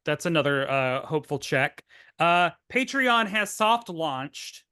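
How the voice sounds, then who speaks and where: Higher-pitched man, wry podcast co-host. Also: big pitch swings, measured pace, bright, forceful tone, clear, resonant tone, dry recording, clean studio sound. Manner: wry, tangential, self-interrupting asides. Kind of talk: casual rambling podcast chat.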